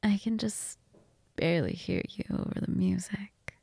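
Woman playing a breathy, flirtatious vampire. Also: on the low side, sing-song, no echo, exaggerated voice. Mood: seductive. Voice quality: breathy, half-whispered.

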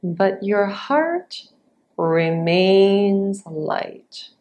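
A woman teaching yoga nidra as a guided meditation, giving short, measured instructions with long pauses between them. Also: medium pitch, expressive, a little mellow.

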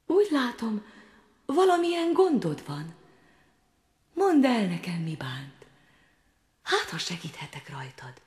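Picture a tiny fairy-tale frog maiden, soft-spoken and coaxing, her voice lilting and sing-song as she hushes into whispers, as a woman puts her on. Her pitch rises and falls with lively swings, never loud, always tender and patient. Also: pauses often, reverberant, lightly acted. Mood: gentle coaxing. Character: sweet little female frog, gentle and coaxing.

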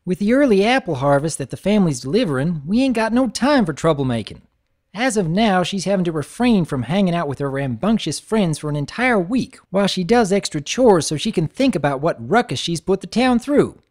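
A man's voice: high-pitched, sing-song, fairly quick, darkish tone, voice pushed up. A man voicing a hardworking, cheerful adult farm pony mare with a put-on Southern drawl. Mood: hearty. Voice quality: folksy, drawling.

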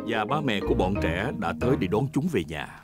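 A man's voice: lowish voice, animated, brisk, loud music behind the voice, natural voice. A man narrating a preschool cartoon as a friendly storyteller for small children. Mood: storytelling.

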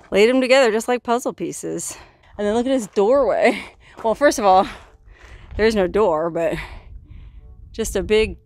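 A woman, chatting playfully to a handheld camera as she explores a cave cabin outdoors, laughing and spinning silly stories. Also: on the high side. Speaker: solo outdoor adventure vlogger. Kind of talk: casual vlog narration while exploring. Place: outdoors, inside a rock cave and cabin in a canyon. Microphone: handheld camera at arm's length.

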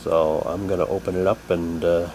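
A man giving a halting, unhurried, casual commentary as he shows and reads out items for an unboxing video. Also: sits low. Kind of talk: casual unboxing commentary, reading packaging aloud. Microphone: handheld camera's built-in mic. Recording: noisy home camcorder, steady hum.

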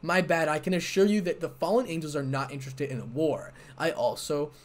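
A man's voice: fairly high pitch, a little fast, close to an everyday voice. A man doing a cocky laid-back angel leader. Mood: casual.

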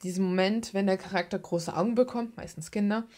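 A woman's voice: medium pitch, a little fast, a little mellow. A young adult woman rambling casually in an informal, playful, slangy way, like someone showing her hobby on a vlog. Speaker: hobby artist and video maker.

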